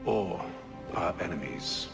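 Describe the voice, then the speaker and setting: A composed English gentleman of a secret order with a very low voice. He is courteous and understated in a British accent, as in a game cutscene, with loud music underneath. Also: animated, vocal fry, long reverb tail, heavily acted. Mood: composed, dry. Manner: polite, measured, understated. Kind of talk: video game cutscene acting.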